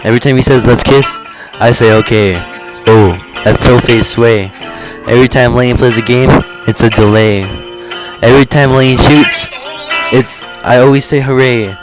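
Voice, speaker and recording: A man's voice: mid-range voice, fairly even intonation, moderate pace, rough recording. A man doing amateur comedic rap in simple rhymes over a backing track. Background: plucked guitar music.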